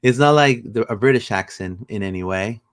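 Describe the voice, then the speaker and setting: A man hosting a casual soccer livestream, rambling with many fillers and bursts of excitement. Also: on the low side, brisk. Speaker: podcast host.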